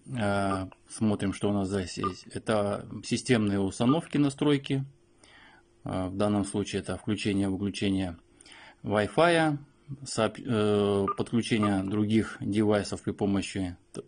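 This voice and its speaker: A man with a fairly low voice hosts an unscripted product review. He goes through things step by step, explaining in short pieces and often pausing to find the next word. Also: a little mellow. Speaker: host of a phone review.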